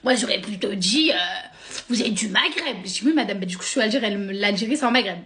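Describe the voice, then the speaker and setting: A young woman from France telling a sarcastic, animated story straight to her viewers. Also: medium pitch, quick pace. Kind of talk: casual storytime monologue.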